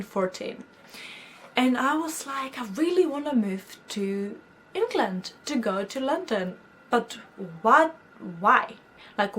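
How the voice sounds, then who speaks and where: A young woman from Greece with a Greek accent, telling her story in a chatty, giggly vlog to camera. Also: mid-range voice. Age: young adult. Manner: chatty, giggly, animated quoting of herself. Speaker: vlogger. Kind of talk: casual storytime chat to camera.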